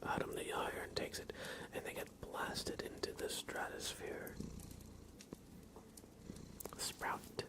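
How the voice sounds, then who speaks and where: A man hosting an ASMR livestream, speaking softly with playful asides as he chats. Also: slow pace, long pauses. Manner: soft-spoken, playful asides to a pet cat. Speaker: livestream host. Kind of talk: whispered ASMR livestream chat.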